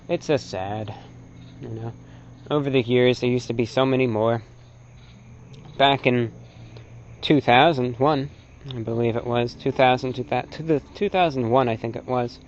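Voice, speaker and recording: A man with an American accent gives an unscripted, opinionated lecture in a halting, emphatic way, repeating short phrases for effect. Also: mid-range voice, dull audio, a little hiss or hum. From North America. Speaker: presenter, shortwave radio enthusiast.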